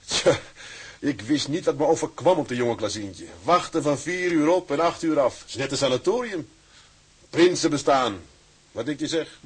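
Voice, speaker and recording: An actor playing a plain-spoken young sailor in a radio drama, husky, colloquial and hearty. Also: male voice, fairly high pitch, expressive, moderate pace. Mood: emphatic relief. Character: hearty, plain-spoken young Dutch seaman and loving husband. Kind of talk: Dutch radio drama acting.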